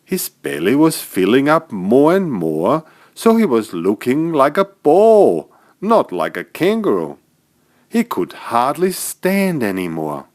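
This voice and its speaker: A man narrating a scripted bedtime story for small children as its author, in an expressive storytelling manner. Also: medium pitch, slow. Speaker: author narrating own stories.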